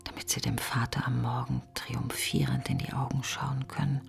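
A woman's voice: rumbling low, flat delivery, moderate pace, vocal fry, whispered, faint music behind, heavily acted. An actress playing a grieving, self-accusing woman who broods in fragmented questions in a literary radio play monologue. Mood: bitter. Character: grieving, self-accusing woman mourning her best friend.

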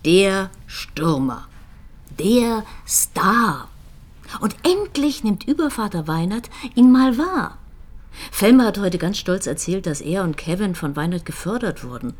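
An actress playing a composed police detective in a naturalistic crime drama, probing and measured in conversation. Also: female voice, medium pitch, very animated. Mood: wry, speculating.

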